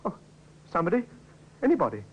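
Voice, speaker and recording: A high-pitched, nervous young hired man in a vintage radio drama. He stammers and stalls as he speaks. The sound is dull and lo-fi. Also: huge pitch swings, husky, exaggerated voice. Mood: scared, defensive. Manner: stammering, halting. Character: frightened evasive young male hired hand. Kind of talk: 1950s radio drama acting. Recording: dull lo-fi old radio recording.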